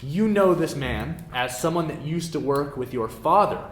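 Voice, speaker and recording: A man narrating a tabletop game as game master in a halting, informal way. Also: fairly high pitch, expressive. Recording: roomy echo, clear background noise.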